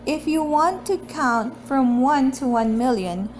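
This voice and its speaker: A woman with a high-pitched voice reads a children's book aloud at a slow pace. Her delivery is deliberate and expressive, in the style of a homemade read-aloud video. There is noticeable noise behind her. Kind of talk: picture book read-aloud with casual chat.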